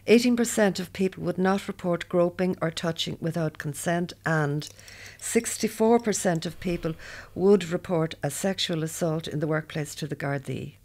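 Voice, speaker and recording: A woman's voice: on the low side, moderate pace, few pauses, a little mellow. An Irish woman in her seventies speaking in a group discussion, direct and matter-of-fact.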